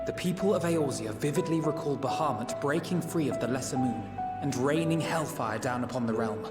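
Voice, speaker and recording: A man's voice: mid-range voice, fairly quick, natural voice. A man playing an earnest young student in a video game, recounting history in a formal, precise and dramatic way. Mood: earnest, grave. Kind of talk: scripted video game dialogue. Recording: game audio with echo, loud music. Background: loud music.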